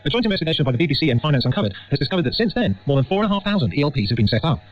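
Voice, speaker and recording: A man's voice: on the high side, big pitch swings, very fast. A man delivering a scripted news report as a radio correspondent. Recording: muffled radio, faint background noise.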